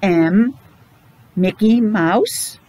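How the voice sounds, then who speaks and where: A middle-aged woman teaching young children in simple, deliberate phrases with chatty asides, speaking through a face mask. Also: mid-range voice, very animated, warm tone. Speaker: preschool teacher. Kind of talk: children's classroom lesson. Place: small indoor classroom.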